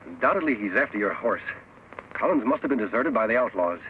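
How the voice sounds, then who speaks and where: An actor playing a steadfast, commanding western hero in an old radio drama, speaking with earnest, deliberate authority. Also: male voice, on the low side, animated, pushed and bright. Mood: commanding, urgent. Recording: noisy old radio recording.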